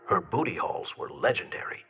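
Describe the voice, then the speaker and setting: An actor playing a mischievous, flamboyant rebel senator in a video game, speaking in a theatrical, teasing way. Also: male voice, on the low side, sing-song, fairly quick, pushed and bright, muffled recording, faint music behind. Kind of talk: scripted video game dialogue.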